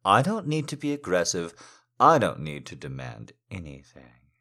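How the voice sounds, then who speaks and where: A man playing a silver-tongued, adoring lover in an intimate erotic audio roleplay, teasing and gently commanding in drawn-out, breathy phrases. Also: low-pitched, huge pitch swings, heavily acted. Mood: adoring, intense. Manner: flowery, seductive, drawn-out phrasing with breathy whispers.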